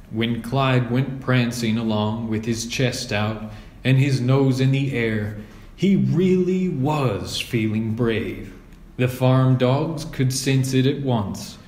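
Slow-paced man reading a storybook, roomy. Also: medium pitch, darkish tone. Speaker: storybook reader. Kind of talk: scripted children's story narration, read aloud. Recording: roomy echo.

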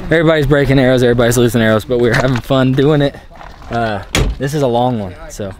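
A man's voice: mid-range voice, quick pace. A young man chatting casually as he films an archery event outdoors on a rainy mountain, upbeat and self-deprecating. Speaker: vlogger filming an archery event. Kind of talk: casual vlog narration. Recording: outdoor camera audio with loud background noise.

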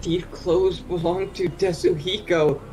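A young video game detective, voiced by an actor, speaks earnestly and with some hesitation. The mid-pitched voice sits in loud music. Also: steady tone, measured pace, close to an everyday voice, poor audio. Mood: shaken.

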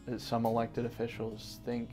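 A man's voice: mid-range voice, monotone, normal speed, over music. A young man from Connecticut, an environmental justice organizer, answering interview questions earnestly with frequent restarts and fillers. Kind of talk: sit-down interview. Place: office gallery room.

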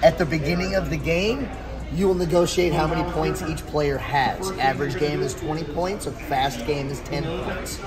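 A middle-aged man with a fairly high voice explains a game in a casual pitch. He is recorded across a table in a busy indoor space, with music clearly audible. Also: steady tone, normal speed. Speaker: card game creator giving a demo. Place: busy indoor convention hall.

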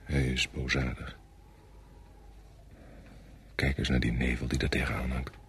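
An actor playing a sombre, doubtful subordinate French army officer in a radio play, deferential yet brooding. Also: male voice, extremely low pitch, little pitch movement, unhurried, fairly bright tone, slight background noise. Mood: brooding, ominous. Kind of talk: Dutch radio play acting.

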